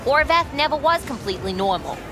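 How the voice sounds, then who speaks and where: A woman's voice: sits high, very animated, fairly quick, over music. A woman playing a brash, cynical market boss in her forties in a video game, sardonic, slangy and profane. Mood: scornful. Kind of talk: video game dialogue acting.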